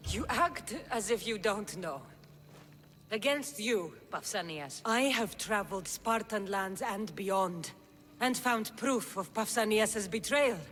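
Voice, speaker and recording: A woman's voice: mid-range voice, animated, slow pace, fairly bright tone, big-room sound, a little hiss or hum. An actress playing a bold, resolute Spartan warrior woman in a video game. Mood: accusing, defiant. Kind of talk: video game dramatic acting.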